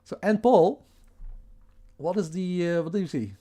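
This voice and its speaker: Dutch-accented male streamer, slightly high voice, rambling and chatty. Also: measured pace, mellow. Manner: rambling, restarts, chuckles, asks the chat. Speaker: livestream host. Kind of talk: casual livestream chat.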